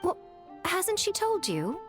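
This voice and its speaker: A woman's voice: extremely high pitch, huge pitch swings, takes it slowly, faint music behind, exaggerated voice. A woman playing a sly, elegant shrine priestess in a video game, with a playful, coy, theatrical way of drawing out her phrases. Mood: mock pity.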